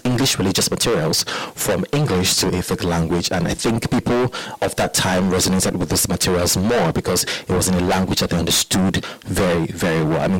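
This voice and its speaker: Deep-voiced radio host. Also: male voice, slightly rough, dry recording, poor audio. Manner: conversational, explains with examples and asides, repeats words. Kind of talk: radio talk segment. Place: radio studio.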